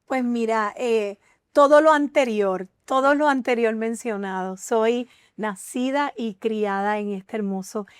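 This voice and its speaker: Earnest woman sharing her testimony, somewhat high. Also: measured pace, clear, resonant tone, no room sound, studio quality. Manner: emotional, earnest, hesitant with many fillers. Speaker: guest. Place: TV studio.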